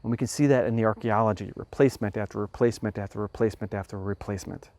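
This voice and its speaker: A man hosting a podcast, talking through a moral question in a thoughtful, measured way, restarting phrases as he reasons aloud. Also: lowish voice, steady tone, darkish tone, no echo. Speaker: podcast host. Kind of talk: explanatory talk on ethics.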